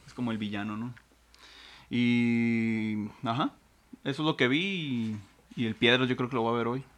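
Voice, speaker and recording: A Mexican man co-hosting a casual podcast, talking about series he watches in relaxed, slangy speech. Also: mid-range voice, moderate pace. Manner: relaxed slangy storytelling with fillers. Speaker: podcast co-host. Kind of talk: casual chat, recommending series.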